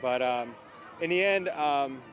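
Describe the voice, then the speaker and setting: A man gives an unscripted interview as an organizer. His voice is medium in pitch, and his delivery is hesitant, stopping and starting as he goes. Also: takes it slowly. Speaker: haunted attraction organizer.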